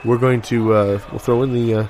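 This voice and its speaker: Over noticeable background noise, a man improvises sketch comedy. He speaks in halting, repetitive salesman-like patter at an average male pitch. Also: steady tone, a little mellow.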